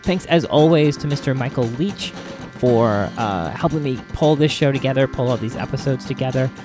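A man hosting a podcast solo, talking casually in a rambling, halting way with chuckles mixed in. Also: medium pitch, music in the background. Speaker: podcast host.

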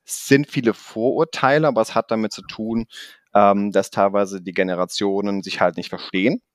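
Man, middle-aged, Swabian-Rhineland accent, fast energetic talk, self-joking, slightly low voice, dry close sound. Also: no echo. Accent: mix of Swabian and Rhineland German. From Koblenz, Rhineland, Germany. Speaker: podcast guest, training institute manager. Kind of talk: casual interview talk.

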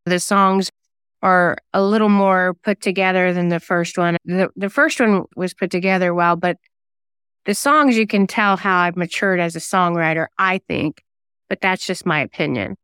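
A woman speaks conversationally as an interview guest, chatty and a little self-deprecating. Her voice is strikingly clear and smooth. The sound is dry, with no room on it. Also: lowish voice, moderate pace, fairly bright tone, no echo. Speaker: guest singer-songwriter and novelist.

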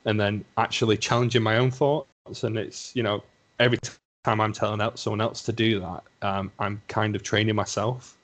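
Young Lancashire man, low-pitched, chatty podcast guest who rambles and reflects aloud. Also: little pitch movement, normal speed, a bit bright. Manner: rambling, self-reflective, hedges with "you know". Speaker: podcast guest, assistant psychologist. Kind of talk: casual conversation.

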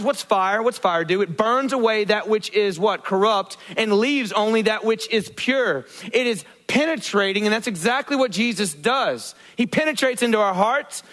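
Man, pastor, lively preaching, rhetorical questions, higher voice. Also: poor audio. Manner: dynamic preaching, asks the congregation questions, acts out quotes. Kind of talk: sermon. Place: church.

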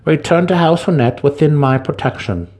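A man voicing a tiny, strong dark elf matriarch, scheming and menacing, with a commanding manner, in a fantasy story reading. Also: on the high side, normal speed, pitched above the performer's own voice, natural voice. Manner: commanding, threatening.

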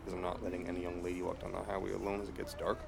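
Rural ranch hand, folksy small-town gossip, lowish creaky voice, radio play set in a noisy moving vehicle. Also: male voice, completely flat delivery, very fast. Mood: friendly, obliging.

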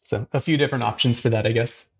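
A man's voice: mid-range voice, fairly even intonation, fast, husky. A man hosting an art livestream, talking casually with many fillers and restarts. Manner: casual, filler-heavy, frequent "like" and "um". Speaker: host. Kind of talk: livestream chat.

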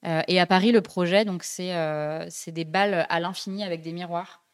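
A woman's voice: on the low side, fairly even intonation, normal speed, smooth. A young woman hosting a casual podcast, talking chattily with many hesitations and fillers. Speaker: podcast host.

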